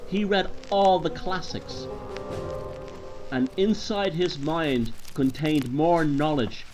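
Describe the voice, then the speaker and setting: Male storyteller, Dublin accent, fairly high voice, for dramatic spooky yarns told deliberately over music. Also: poor audio. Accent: Dublin Irish. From Ireland. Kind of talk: dramatic horror story narration.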